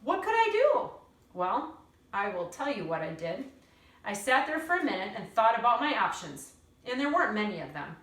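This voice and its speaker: Earnest, indignant storybook girl, voiced by a woman, emphatic and solemn, animated pitch, echoey room. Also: normal speed. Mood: resolute. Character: earnest, indignant young girl narrating her troubles. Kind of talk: expressive read-aloud of a children's novel. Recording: roomy echo, distant mic.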